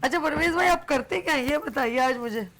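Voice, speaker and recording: A high-pitched woman with a Pakistani Urdu accent hosts a live morning show. She talks in a playful, teasing, chatty way, and her throat sounds a little sore. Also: a little fast, a bit bright, slight background noise. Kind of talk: casual live TV chat.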